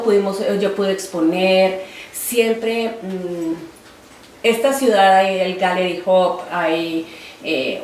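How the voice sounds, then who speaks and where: A Colombian woman in her forties, an artist reflecting in an oral history interview with a halting, filler-heavy delivery. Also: on the low side, darkish tone. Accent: Colombian Spanish. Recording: roomy, distant mic, faint noise.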